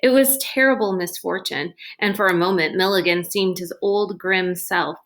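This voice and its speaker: A woman with a medium-pitched voice narrates a children's novel. She reads the scripted story aloud as its narrator. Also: normal speed, fairly bright tone.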